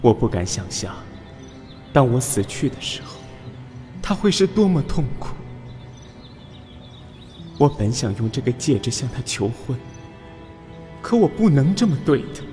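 A man playing a gentle, dying young lover, reading his diary with tender sorrow in an audio drama. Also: medium pitch, sing-song, unhurried, pauses often. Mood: tender grief. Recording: long reverb, music behind.

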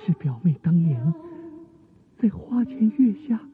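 An actor playing a lovelorn young court musician in a radio drama, declaiming with drawn-out yearning. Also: male voice, high voice, sing-song, over music. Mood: wistful longing. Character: young lovelorn male Tang court musician, aged twenty. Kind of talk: theatrical Chinese radio drama acting. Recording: muffled phone-like band, faint noise.

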